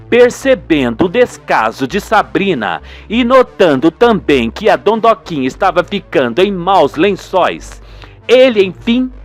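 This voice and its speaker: A man with a Brazilian accent giving dramatic scripted narration for a radio soap opera. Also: high-pitched.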